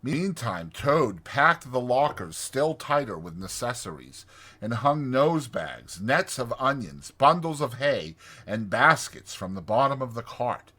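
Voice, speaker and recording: Male storybook narrator, bright and husky, for dramatized scripted reading. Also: mid-range voice, very animated, unhurried. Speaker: audiobook narrator.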